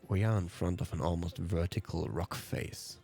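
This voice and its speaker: A man's voice: low-pitched, fairly even intonation, moderate pace, gravelly, mellow, faint music behind. A man whose native language is German, hosting a let's play and reading visual novel narration aloud.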